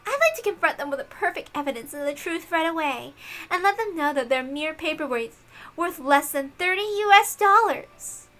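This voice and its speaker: A woman's voice: sits high, expressive, normal speed, a bit bright, soft background music, voice pushed up. A woman voicing a prim, ruthless young female inquisition officer, formal and coldly dutiful, in a visual novel read-aloud. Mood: coldly disdainful.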